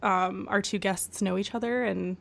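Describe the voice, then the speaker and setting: A woman's voice: mid-range voice, steady tone, moderate pace, gravelly. A young woman co-hosting a podcast, asking friendly, hedging questions. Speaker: podcast co-host. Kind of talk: casual interview chat.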